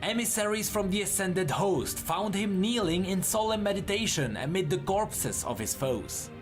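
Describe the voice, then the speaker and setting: A man reading scripted fantasy lore as a narrator, in a measured, dramatic storytelling manner. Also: high-pitched, expressive, soft background music.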